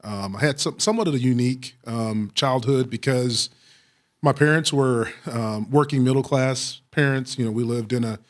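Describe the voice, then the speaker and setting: A middle-aged American man from Texas answering interview questions with personal stories, speaking reflectively with frequent pauses and repeated phrases. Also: mid-range voice. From Waco, Texas, United States. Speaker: police chief, interview guest.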